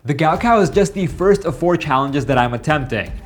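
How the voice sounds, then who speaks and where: A young man hosting a vlog, narrating in an upbeat, joking way over soft music. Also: on the high side, lively intonation, fairly quick, slight background noise. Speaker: vlog host. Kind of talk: scripted narration and casual vlog chat.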